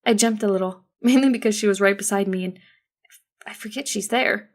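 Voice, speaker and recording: A woman's voice: mid-range voice, brisk. Female narrator, mid-range voice, for first-person fiction audiobook narration.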